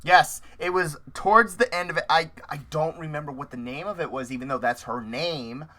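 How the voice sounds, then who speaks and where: A man hosting a casual interview with cosplayers, talking in an animated, rambling way and laughing often. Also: fairly high pitch, brisk. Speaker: interview host.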